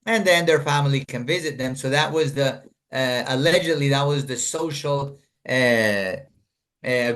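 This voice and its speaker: A man's voice: mid-range voice, a bit bright. A middle-aged man from Colombia, a guest telling his life story in an earnest, rambling way, full of fillers and restarts, over a video call.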